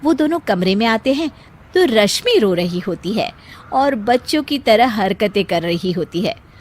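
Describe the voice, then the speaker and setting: A woman's voice: medium pitch, lively intonation, unhurried, a little mellow. A woman narrating a scripted cartoon story as a storyteller.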